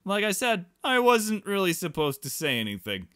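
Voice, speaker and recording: A man's voice: high-pitched, wildly swooping pitch, moderate pace, pushed and bright, clean tone, dry recording, studio quality, pitched above the performer's own voice, full character voice. A man voicing a flippant, evasive teenage schoolgirl from a visual novel, with breezy, exasperated teen talk. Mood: evasive, reassuring. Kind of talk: visual novel character read aloud. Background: game music.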